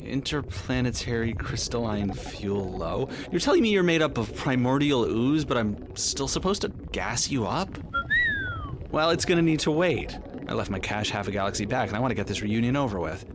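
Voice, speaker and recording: An actor playing a world-weary, wry detective in a noir audio drama, brooding and sardonic. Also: male voice, medium pitch, lively intonation, moderate pace, very noisy, natural voice. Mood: irritated, impatient. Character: world-weary, wry male detective narrating his story. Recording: faint music behind the voice.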